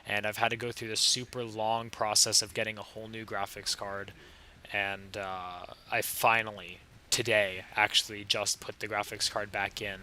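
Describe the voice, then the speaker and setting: Young male gaming host, under the weather, raspy edge, sharp bright tone, low-ish voice, faint hiss behind. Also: level tone, moderate pace. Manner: casual, laid-back, chatty with frequent fillers and short laughs. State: sick, slightly raspy voice. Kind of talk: casual gameplay commentary.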